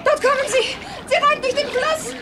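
Scared sister in a radio play, super high cries, far off, very noisy. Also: female voice, steady tone, moderate pace. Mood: alarmed. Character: frightened young sister travelling with her brother. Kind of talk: German radio play acting. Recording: distant voice, loud noise and crowd.

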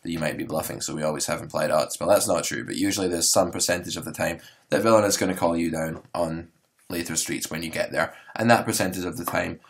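Poker coach, male, hoarse today, very low voice, loose rambling solo podcast delivery. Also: fast. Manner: relaxed, rambling, filler-heavy explaining.

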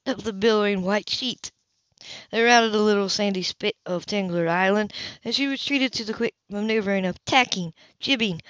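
A woman's voice: mid-range voice, a bit bright, no echo. A woman reading a novel aloud as an amateur narrator, occasionally stumbling over words. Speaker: amateur audiobook reader. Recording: dull, lo-fi home recording.

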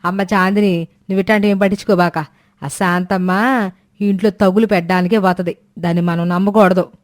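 A woman's voice: on the low side, little pitch movement, a little fast, darkish tone, natural voice. A woman voicing a bossy, joking older mother-in-law in a moral story. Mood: warning.

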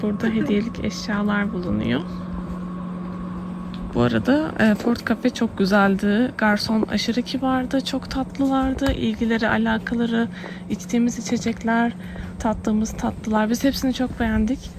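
Higher-voiced woman vlogging over outdoor noise. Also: steady tone, normal speed. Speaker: travel vlogger. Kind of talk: casual vlog chat to viewers. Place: outdoors, on town streets. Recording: noisy outdoor recording, street ambience.

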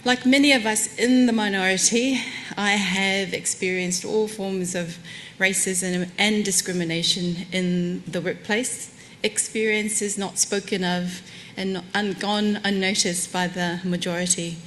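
A woman's voice: medium pitch, fairly even intonation, a little slow, a little hiss or hum. A woman, giving a candid, self-deprecating keynote talk from a lectern on a conference stage. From Samoan heritage, New Zealand. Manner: warm, candid, self-deprecating with light laughs. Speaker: conference speaker. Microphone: lectern microphone.

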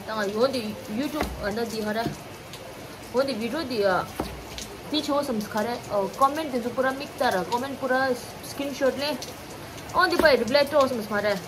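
A woman's voice: on the high side, noisy background. A young woman in her twenties chatting casually to her phone camera in a small home kitchen, switching between languages and swearing now and then. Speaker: vlogger. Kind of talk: casual vlog chat. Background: background music.

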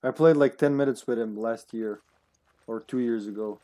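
Brief: thirties male streamer, chatty, jokey, laughs easily, mid pitch. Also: warm tone. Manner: joking, chatty, quick laughs. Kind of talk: casual co-op gameplay chat.

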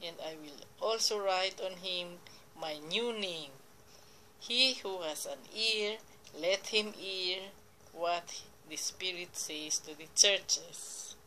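A woman reading Bible verses aloud and explaining them in a slow, deliberate way, now and then correcting herself, recorded on a phone pointed at a computer screen. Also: lowish voice, sharp tone, a little hiss or hum, low-quality recording. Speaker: lay preacher. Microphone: phone camera filming a computer screen.

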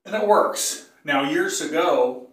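An older man with a cold teaches bagpipe technique in a casual, rambling way. He keeps clearing his throat and stops to correct himself. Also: fairly high pitch, slow, fairly bright tone. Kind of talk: casual tutorial. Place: small tiled bathroom. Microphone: phone or camera some feet away. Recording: echoey bathroom, voice from a distance.

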